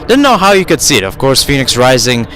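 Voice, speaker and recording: A man's voice: mid-range voice, sing-song, a little fast, a bit bright, slight background noise. A man giving live radio play-by-play of a soccer match from outdoors at the field, calling it in short running phrases with asides. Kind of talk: live radio sports commentary.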